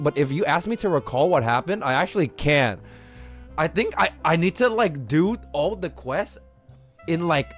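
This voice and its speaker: Animated young man chatting on a livestream. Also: on the high side. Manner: slangy, swearing, rambling, repeats "like". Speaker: game streamer. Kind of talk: casual livestream chat while gaming. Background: game music.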